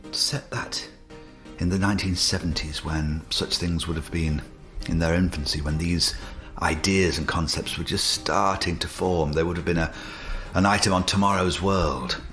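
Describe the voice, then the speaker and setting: Deep, bright-toned enthusiastic male commentator. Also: moderate pace, faint music behind. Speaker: commentator on the episode. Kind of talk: reflective commentary.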